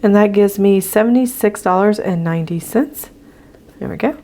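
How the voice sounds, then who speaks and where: A woman with a hoarse voice, casually walking viewers through her budget sheets as a channel host, with frequent ums as she writes. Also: mid-range voice, moderate pace, mellow, slight background noise. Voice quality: gravelly. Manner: chatty with frequent ums, talking while writing.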